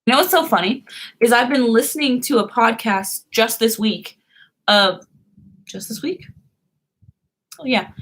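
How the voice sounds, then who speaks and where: A woman's voice: on the high side, unhurried, long pauses, a bit bright, slightly rough. A young woman hosting a casual live stream, who chats, laughs often and answers her viewers' comments.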